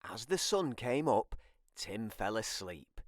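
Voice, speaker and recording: A storybook reader, giving animated scripted narration for children. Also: medium pitch, slow, dry recording, clean studio sound.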